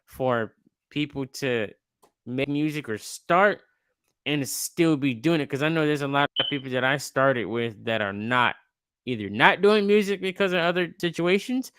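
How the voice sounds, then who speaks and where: A young man in his twenties hosts a podcast, talking in a loose, slangy, rambling way. His voice comes through smooth and clear. The sound is dry, with no room echo. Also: medium pitch, animated, normal speed, fairly bright tone. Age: 20s. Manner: laid-back, slangy, rambling with restarts. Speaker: podcast host. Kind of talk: casual interview chat.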